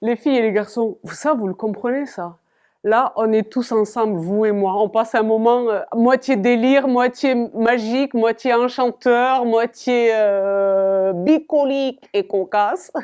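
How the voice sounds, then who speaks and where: French woman chatting to her camera at home, higher voice, hams it up, laughs a lot. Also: darkish tone, smooth. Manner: theatrical, giggly, talks straight to the viewers. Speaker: fashion vlogger. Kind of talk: playful chat to camera.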